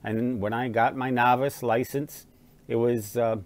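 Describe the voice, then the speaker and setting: A man's voice: medium pitch, little pitch movement, unhurried. A man in his sixties or older, reminiscing casually to the camera in a rambling, conversational explaining manner. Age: 60s or older. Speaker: amateur radio hobbyist and vlogger. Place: small living room at home with fireplace.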